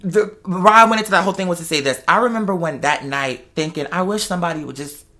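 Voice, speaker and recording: A woman's voice: on the low side, fast talker, bright, forceful tone. An American woman chatting casually and telling stories in a sassy, emphatic, conversational way. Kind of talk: casual chat, animated storytelling.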